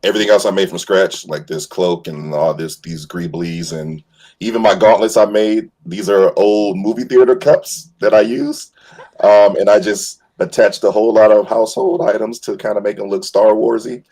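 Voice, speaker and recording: Man, very low voice, husky edge, easygoing, halting casual explanations, livestream guest. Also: steady tone, dark tone, rough recording. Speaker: guest voice actor and cosplayer. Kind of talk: casual chat.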